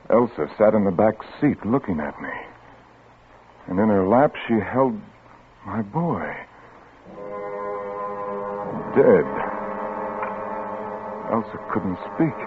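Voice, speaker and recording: An actor playing a shaken, grieving father who narrates haltingly in a 1950s radio drama. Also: medium pitch. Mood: numb horror. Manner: halting, hushed, dramatic pauses. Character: shaken, grieving father turning coldly vengeful. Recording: tinny vintage 1950s radio recording.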